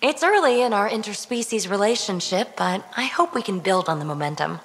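A woman's voice: mid-range voice, animated, a little fast, big-room sound, lightly acted. A woman voicing an upbeat, playful young alien woman in a video game, talking in a breezy, teasing, informal way.

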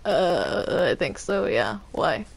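A woman improvising roleplay while playing a video game, speaking in a hesitant, halting way. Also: fairly low pitch, very animated, gravelly. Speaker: Minecraft roleplay player.

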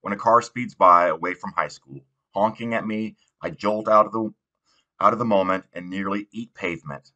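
A man's voice: fairly low pitch, moderate pace, bright, forceful tone, muffled recording. A man narrating a young adult novel as an audiobook reader.

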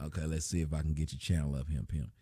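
A man's voice: a bass voice, steady tone, fast, warm tone, slightly rough, dry recording. A man hosting a casual livestream from a small room at his desk, loosely reading donations and chat aloud with plenty of profanity. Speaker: livestream host.

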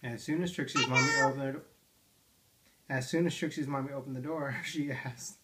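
A man's voice: mid-range voice, steady tone, fairly quick, pushed and bright, slight background noise. A father reading picture books to his toddler at home, playful and coaxing, asking her questions about the pages. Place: living room armchair at home.

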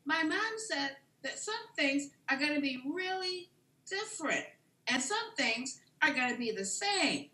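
Woman playing a nervous little preschool puppet, simple speech, bright, roomy video-call sound. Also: high voice, expressive, full character voice. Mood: earnest, unsure. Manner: simple, deliberate child-like speech. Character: earnest, slightly nervous young puppet preschool child. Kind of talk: children's puppet show over video call.

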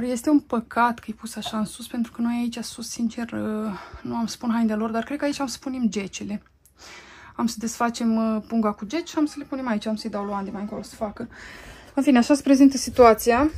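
A woman in her thirties from Romania, chatting casually through her cleaning while filming on her phone at home, pausing often with fillers as she works. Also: a little high, a little mellow. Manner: chatty, talks while doing chores, frequent pauses and fillers. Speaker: family vlogger. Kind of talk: casual clean-with-me vlog narration. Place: family home, indoors. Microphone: phone camera.

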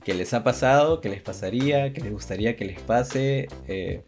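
A man's voice: mid-range voice, normal speed, a little mellow. A young man co-hosting a casual video podcast, hesitant and rambling, often repeating his phrases. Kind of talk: casual chat. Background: background music.

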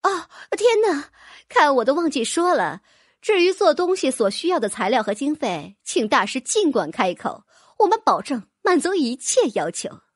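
A woman's voice: high-pitched, very animated, normal speed, dry recording, clean studio sound. Woman playing a gushing fawning noblewoman. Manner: gushing, exclamatory, wheedling. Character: fussy fawning rich noblewoman mother.

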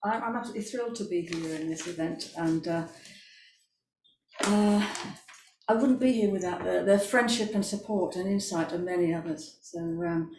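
An older British woman gives a prepared introduction with some hesitancy. Her voice is soft-edged and mellow, in an echoey room with faint noise. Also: lowish voice, moderate pace. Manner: hesitant, unpractised. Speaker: playwright. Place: indoors in a formal parlour.

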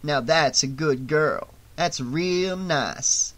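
A man's voice: a little high, drawn-out words, fairly bright tone. A man voicing a brash woman biker gang leader for an audiobook, with a mocking, taunting drawl.

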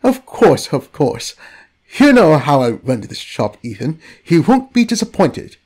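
Courteous, welcoming male barber for an audio story, played by the narrator, very animated intonation. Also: medium pitch, a little slow, slightly rough. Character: courteous, evasive Sicilian barber, a man.

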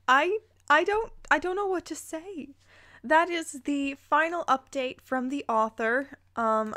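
A woman's voice: sits high, sharp tone. A woman hosting a dramatic read-aloud of fan fiction, breaking in with playful, incredulous commentary. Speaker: host reading and reacting to fan fiction.

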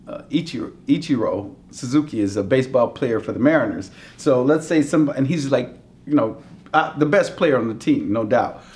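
A middle-aged man, a voice actor, chatting in an interview, acting out quotes and laughing easily in a dim hotel room. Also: mid-range voice. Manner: animated storyteller, giddy laughter, acts out quotes. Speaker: voice actor guest. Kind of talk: casual interview chat.

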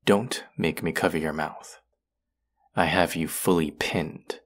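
A man's voice: very deep voice, steady tone, slow pace, a bit bright, full character voice. A young man playing an irritable, predatory vampire college student in an intimate audio roleplay, curt, sarcastic and condescending. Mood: predatory, hushed menace.

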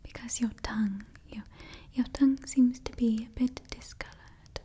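A woman in her twenties whispering an ASMR roleplay close to the microphone, breathy and repeating her phrases. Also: fairly high pitch, steady tone, moderate pace, mellow. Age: 20s. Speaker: ASMR performer playing a monster party door checker. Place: small indoor setup before a brick backdrop. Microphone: close microphone, face near camera.

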